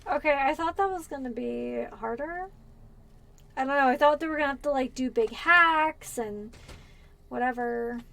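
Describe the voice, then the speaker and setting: A woman's voice: high-pitched, normal speed, creaky, a bit bright. A woman from Pennsylvania with a Californian accent, chatting casually and rambling as she streams a game. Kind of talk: casual gameplay chat.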